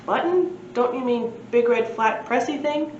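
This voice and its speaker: A woman's voice: a little high, measured pace, a little mellow, close to an everyday voice. A woman reading a storybook, voicing a bewildered, polite father lost in time, earnest, conversational and questioning. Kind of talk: storybook read-aloud. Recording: echoey room, muffled, faint noise.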